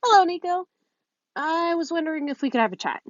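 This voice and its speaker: A woman's voice: very high voice, expressive, a little fast, no room sound, pitched above the performer's own voice. A woman voicing a friendly, tactful teenage girl with an earnest, chatty manner in a story reading. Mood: friendly concern. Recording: muffled phone recording.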